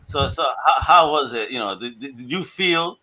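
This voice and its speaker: A man's voice: mid-range voice, expressive, moderate pace, sharp tone, rough recording. A man hosting a casual podcast chat, enthusiastic and laughing often as he asks his guests questions. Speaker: podcast host.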